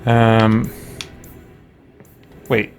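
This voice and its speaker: A man's voice: fairly low pitch, completely flat delivery, very slow, over loud music. A man giving casual gameplay commentary, muttering to himself as he thinks aloud. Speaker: let's play host.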